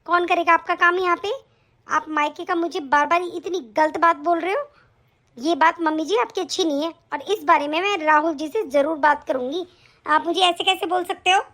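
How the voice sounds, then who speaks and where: Indignant young daughter-in-law, high bright voice, a woman acting. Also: normal speed. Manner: indignant, argumentative. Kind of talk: family skit acting.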